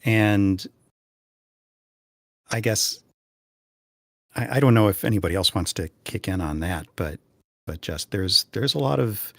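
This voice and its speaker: Low-voiced man, halting and earnest. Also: creaky, a bit bright, no room sound, studio quality. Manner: halting, earnest, searching for words. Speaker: podcast panelist. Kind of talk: casual panel discussion.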